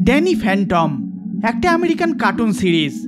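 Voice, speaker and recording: High-pitched man narrating over loud music. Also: big pitch swings, fairly quick. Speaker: documentary narrator. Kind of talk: scripted narration. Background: loud background music.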